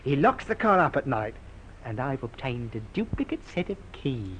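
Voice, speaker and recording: A man playing a cocky, smooth-talking car thief and con man in an old radio crime drama, breezy and persuasive. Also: medium pitch, sing-song, normal speed, fairly bright tone. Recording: faintly noisy old radio recording.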